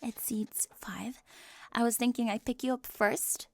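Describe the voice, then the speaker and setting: A woman's voice: fairly high pitch, fairly even intonation, measured pace, creaky, darkish tone, dry recording. A woman playing a prim, hyper-organized popular teen girl in an ASMR roleplay, fussy, officious and over-precise. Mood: brisk, defensive. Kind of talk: scripted ASMR audio roleplay.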